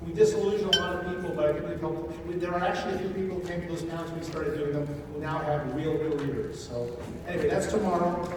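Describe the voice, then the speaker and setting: Male panel host, informal and dryly funny, higher-pitched, in a large reverberant hall. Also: fast talker. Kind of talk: informal stage announcements. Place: large convention panel room, indoors. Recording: echoey convention hall, distant, noisy.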